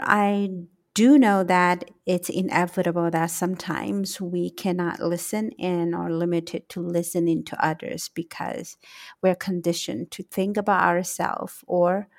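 A middle-aged woman with a Taiwanese accent hosting a solo reflective podcast, speaking in a deliberate, emphatic way. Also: lowish voice, steady tone, vocal fry. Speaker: podcast host, English teacher.

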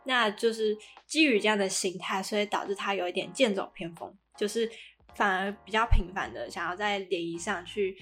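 Taiwanese woman podcast host, middle pitch, chatty recap of a show, music playing behind. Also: lively intonation, brisk, a bit bright. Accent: Taiwanese Mandarin. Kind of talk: casual drama recap chat.

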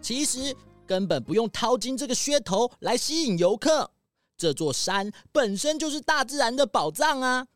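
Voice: medium pitch, expressive, normal speed, dry recording, faint music behind, natural voice. An actor playing a keen, sincere pangolin in a children's audio drama. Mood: earnest. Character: keen, sincere pangolin tourist who loves nature.

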